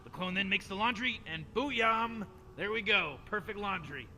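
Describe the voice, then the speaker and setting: A man's voice: sits high, lively intonation, moderate pace, pushed and bright, big-room sound, a little hiss or hum, exaggerated voice. A man voicing a scheming, rambling old male mad scientist who brushes off every worry in a self-satisfied, dismissive way. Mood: boastful. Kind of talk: improvised comedic game voice-over.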